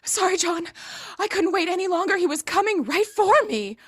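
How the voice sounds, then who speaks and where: A woman's voice: very high-pitched, expressive, moderate pace. A woman playing an eager, impatient rookie zombie hunter in a comedic audio play, speaking with earnest urgency.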